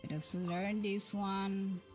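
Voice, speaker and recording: A woman's voice: medium pitch, little pitch movement, takes it slowly, darkish tone. A woman hosting a livestream, thinking aloud in casual, chatty and rambling commentary as she plays a game. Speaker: livestream host. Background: background music.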